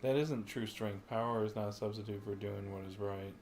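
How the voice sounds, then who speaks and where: A man's voice: fairly low pitch, fairly even intonation, a little fast, darkish tone. A man livestreaming a video game, commenting and reading dialogue lines aloud. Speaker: game streamer. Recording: game music behind the voice.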